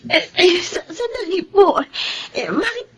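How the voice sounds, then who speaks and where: A woman's voice: very high-pitched, sing-song, unhurried, a little hiss or hum, heavily acted, poor audio. An actress playing an earnest, emotional young woman in a Filipino radio drama, with a Filipino accent. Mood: tearful, shocked.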